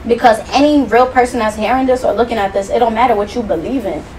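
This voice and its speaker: A woman's voice: fairly high pitch, fast, a little mellow. A woman from New York, a guest musician, who speaks emphatically and a little preachily in a talk-show conversation. She repeats herself and fills her speech with fillers. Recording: distant mic with faint noise.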